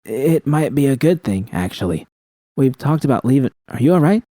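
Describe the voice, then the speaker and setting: A man voicing a blunt, sharp-tongued young woman mercenary who is worried and frustrated, in an audiobook story. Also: mid-range voice, lively intonation, normal speed, dry recording, clean studio sound, voice pushed up, lightly acted. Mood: concerned. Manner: blunt, colloquial, swearing.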